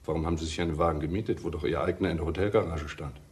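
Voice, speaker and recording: An actor playing a relentless, sardonic police detective in a crime radio drama, deliberate and accusing with dry irony. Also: male voice, a bass voice, steady tone, darkish tone. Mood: methodical pressing.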